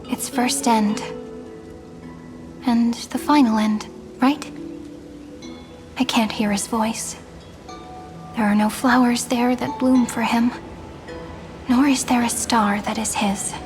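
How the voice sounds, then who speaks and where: An actress playing a gentle, wistful young woman in a game cutscene, speaking softly and earnestly. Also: fairly high pitch, steady tone, slow, long pauses, over music. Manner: soft, earnest and reflective. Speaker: game character. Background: soft game score.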